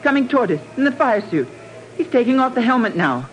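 A woman's voice: fairly high pitch, sing-song, quick pace, highs cut off. An actress playing a blunt, cool-headed woman in a 1950s radio spy adventure, with a hard-edged, matter-of-fact delivery. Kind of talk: 1950s radio drama acting. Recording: noisy vintage radio recording.

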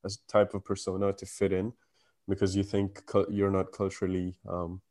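A man from the Gulf, a guest on a podcast, talking in a thoughtful, measured way with pauses and ums. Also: deep voice, monotone, mellow. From Gulf region. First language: not English. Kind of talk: casual chat.

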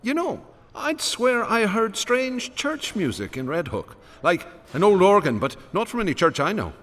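Wry, animated police detective. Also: male voice, high voice, moderate pace, exaggerated voice. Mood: wry, probing. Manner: wry, sardonic. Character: wry, dogged male New York police detective named Malone. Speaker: detective and narrator. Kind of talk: radio drama dialogue and narration.

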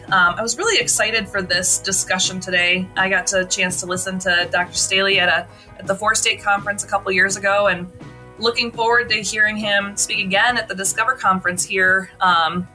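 A woman's voice: mid-range voice, moderate pace, bright, forceful tone, soft background music, low-quality recording. A young woman hosting a podcast, chatty and full of fillers as she introduces her guest. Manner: chatty, many fillers and restarts. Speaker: podcast host. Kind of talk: casual interview intro.

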